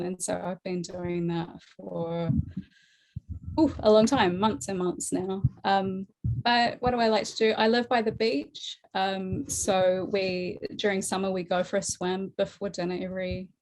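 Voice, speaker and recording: A woman's voice: mid-range voice, steady tone, moderate pace, darkish tone, poor audio. Chatty woman with a New Zealand accent. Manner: chatty, laughs often, many fillers. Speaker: guest artist. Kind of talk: casual interview chat.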